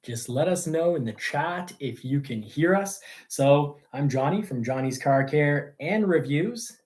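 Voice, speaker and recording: A man's voice: on the high side, lively intonation, darkish tone. Rambling man. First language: French. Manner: rambling, halting delivery. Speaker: host of a car news show. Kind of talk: casual livestream chat.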